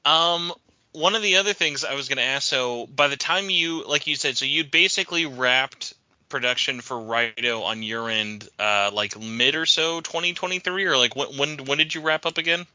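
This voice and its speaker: A man's voice: medium pitch, moderate pace, sharp tone, no room sound, dull audio. A man hosting a podcast, chatty and joking, asking long winding questions. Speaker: podcast host. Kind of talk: casual podcast interview.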